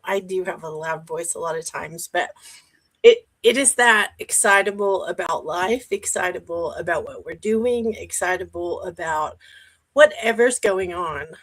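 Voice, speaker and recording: A woman's voice: on the low side, unhurried, fairly bright tone, poor audio. A woman on a panel who talks in an enthusiastic, emphatic way. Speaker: guest panelist.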